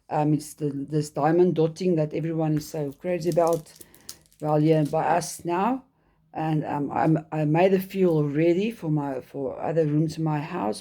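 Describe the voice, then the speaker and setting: A woman's voice: deep voice, mellow. A middle-aged woman from South Africa, a native Afrikaans speaker with a South African accent, chatting casually and hesitantly about her hobby with many ums and restarts. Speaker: hobby vlogger. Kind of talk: casual chat.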